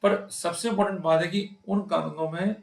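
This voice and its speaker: A man's voice: sits high, fairly even intonation, brisk, gravelly, reverberant. A man with an Indian accent giving an explanatory law lecture, emphatic, putting rhetorical questions to his listeners. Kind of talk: explanatory legal lecture mixing Hindi and English terms.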